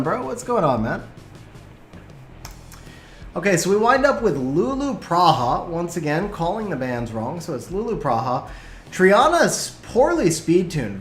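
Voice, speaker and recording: A man giving lively live commentary on game replays and chatting with viewers, his voice getting worn from a long stream. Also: fairly high pitch, roomy sound, slight background noise. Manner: animated, quick play-by-play, bursts of excitement.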